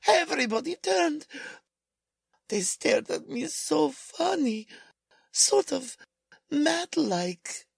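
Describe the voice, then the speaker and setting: A woman playing a timid, frightened German-American housewife in a 1940s-style radio drama, speaking haltingly. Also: sits high, very animated, vocal fry, no room sound, studio quality, heavily acted. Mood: shaken. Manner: hesitant, halting delivery.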